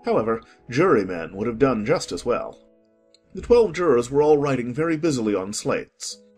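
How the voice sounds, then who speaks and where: Husky expressive male storyteller. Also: mid-range voice, moderate pace, faint music behind. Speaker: narrator. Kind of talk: dramatized audiobook narration.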